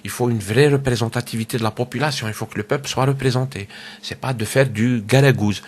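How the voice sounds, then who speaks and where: A man's voice: mid-range voice, steady tone, fast, slight background noise. A man with a cold giving a casual solo political commentary, hesitant, with many fillers and repeated words. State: has a cold. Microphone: home microphone.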